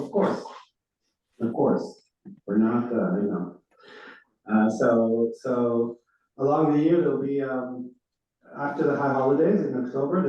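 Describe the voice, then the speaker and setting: A rabbi whose first language is Hebrew talks to a roomful of congregants in a loose, conversational way. His voice carries a mellow, dark tone and sits well back from the microphone. It rings through a big echoing room and comes across dull and lo-fi. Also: medium pitch. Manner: chatty, informal lecturing. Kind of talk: informal announcements to a class. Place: large synagogue room. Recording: echoey hall, far off, dull.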